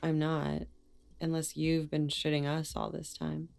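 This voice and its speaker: Low, creaky young woman, flatly deadpan. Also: measured pace, faint music behind. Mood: cool, dry. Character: young woman smoker, cool, politely dry.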